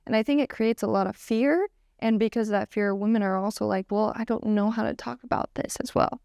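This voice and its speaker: A woman's voice: medium pitch, fairly quick, creaky, a little mellow, clean tone, no room sound, clean studio sound. A young woman of twenty-two talking casually as a patient guest, with many fillers. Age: 20s. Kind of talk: casual podcast conversation.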